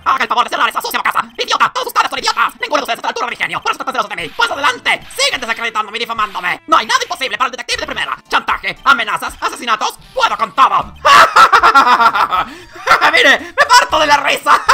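A man's voice: extremely high pitch, gravelly, sharp tone, voice pushed up, heavily acted. A man voicing a pompous, hysterical male detective and blackmailer in a video game, theatrical and haughty. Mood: furious, boastful, then maniacal.

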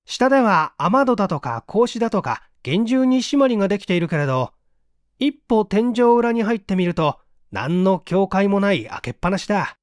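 A man's voice: sits high, sing-song, moderate pace, no echo, clean studio sound. A man voicing a smug, teasing amateur detective who boastfully explains his tricks in a mystery audiobook. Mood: musing, excited. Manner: taunting, self-satisfied, explanatory. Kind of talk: dramatic audiobook reading of a mystery story.

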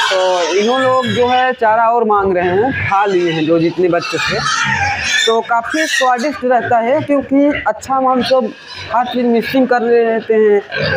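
A man's voice: high voice, background noise. A pig farmer giving a casual, rambling walkthrough of his farm shed, talking to viewers as friends and recorded on a handheld phone. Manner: rambling, many fillers, addresses viewers as friends.

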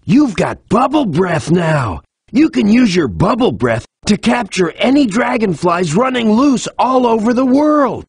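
An actor playing a friendly cheetah sidekick in a video game, casual and encouraging, with a husky rasp. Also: male voice, high-pitched, very animated, unhurried, dry recording, clean studio sound, exaggerated voice. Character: laid-back, friendly male cheetah sidekick. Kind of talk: video game tutorial acting.

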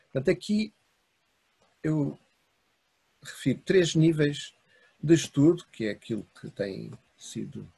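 Husky-voiced European Portuguese lecturer. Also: male voice, on the high side, sing-song, mellow. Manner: measured, enumerating in short phrases. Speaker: university lecturer. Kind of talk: academic lecture read from notes. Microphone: video call webcam.

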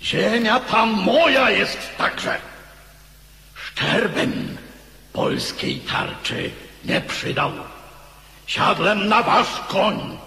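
A man's voice: high voice, expressive, very slow, vocal fry, exaggerated voice. An actor playing a proud, fierce warrior king in a declamatory theatrical radio drama. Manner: declamatory, forceful. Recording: echoey hall with long reverb.

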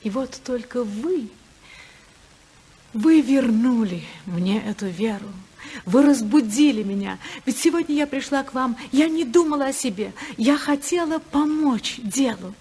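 An actress playing a passionate, unhappy senator's wife in love, in a fervent, emotional 1950s radio drama style. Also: female voice, on the high side, expressive, unhurried, mellow, breathy edge, heavily acted. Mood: tender, yearning.

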